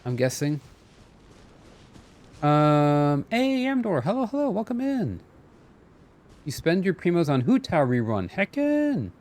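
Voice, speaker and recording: A man's voice: fairly high pitch, wildly swooping pitch, slow pace, pauses often, a little mellow. A man chatting casually as a game streamer, playful, often repeating phrases as he thinks aloud. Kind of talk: casual live gameplay chat.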